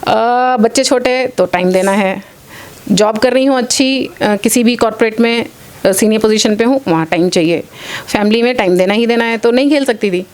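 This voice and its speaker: A woman's voice: fairly high pitch, normal speed, a little mellow. A woman in her late forties answering interview questions earnestly, mixing English phrases into her speech. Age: late 40s. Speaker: interview guest, athlete and former air force officer.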